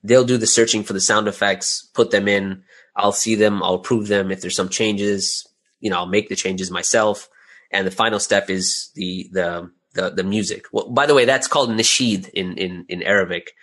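Low-pitched man chatting as an interview guest. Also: steady tone, moderate pace, a bit bright. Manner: chatty, frequent "you know" and "right?" tags. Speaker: guest, audio drama creator. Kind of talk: casual interview conversation.

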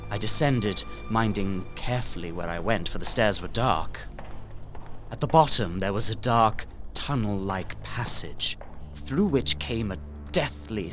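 Gothic audio drama: male actor as desperate young Victorian gentleman, mid-pitched, deliberate and emotive journal narration, music underneath. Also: close to an everyday voice. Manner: intense, deliberate, emotive delivery with formal diction.

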